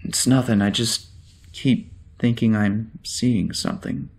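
A man playing an anxious, confused spaceship security officer with amnesia in a science-fiction audio drama, hesitant and halting as he mutters to himself. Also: fairly low pitch, fairly even intonation, creaky, darkish tone. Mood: unsettled, hesitant.

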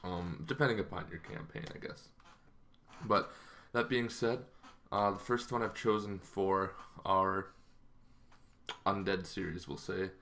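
A man in his thirties with a low-pitched voice talks through his material like a hobby vlogger at home. His delivery is casual and conversational, a little halting as he works through each point. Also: fairly even intonation, fairly bright tone. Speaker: tabletop gaming video host. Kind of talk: casual explanatory vlog. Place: small bedroom at home, indoors.

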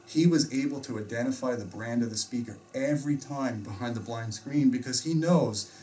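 A man's voice: medium pitch, moderate pace, mellow, a little hiss or hum. Emphatic American man, miked from a distance. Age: middle-aged. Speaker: host and audio reviewer. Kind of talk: casual explanatory discussion. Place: small indoor home theater room. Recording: distant mic in a room.

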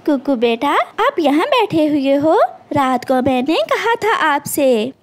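A woman's voice: high voice, big pitch swings, slow pace, exaggerated voice. A woman voicing a patient, chiding mother bird who instructs her children in a cartoon. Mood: gently chiding.

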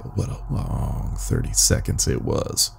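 A man's voice: unhurried, slight background noise. A man narrating a first-person horror story in a weary, haunted, folksy storytelling manner.

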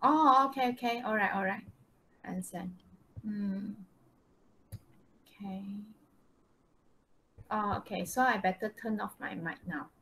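A woman's voice: mid-range voice, measured pace, long pauses, pushed and bright, poor audio. A woman with a Malaysian accent teaching English conversationally on a video call, hesitant and checking in with students. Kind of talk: live online lesson, conversational.

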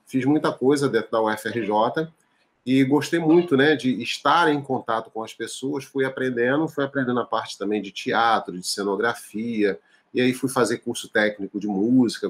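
A man in his forties from Rio de Janeiro, answering interview questions as a teacher over a video call from home. He talks expansively and often adds tag questions. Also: medium pitch. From Rio de Janeiro, Brazil. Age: 40s. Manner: expansive, gestures freely, frequent tag questions. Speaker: teacher and musician, guest. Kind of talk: relaxed conversational interview answers. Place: home room, indoors. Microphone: video call, laptop webcam.

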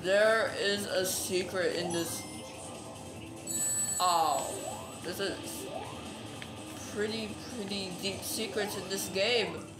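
A woman's voice: mid-range voice, steady tone, takes it slowly, long pauses. A young woman playing a video game with a friend, teasing and joking in casual chat. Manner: teasing, exasperated, jokey. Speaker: game player. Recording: echoey room, game music behind.

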